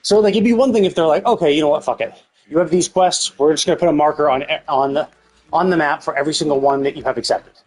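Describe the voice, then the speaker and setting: A man's voice: a little high, fast, a little mellow. A man, live streaming casual game commentary in an informal, profane, explanatory and opinionated way.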